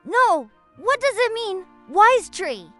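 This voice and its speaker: A woman's voice: extremely high pitch, sing-song, slow, fairly bright tone, no echo, soft background music, exaggerated voice. A woman voicing a young, cheeky shepherd boy in a cartoon fairy tale, with a childlike delivery. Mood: curious. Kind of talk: animated fairy tale character acting.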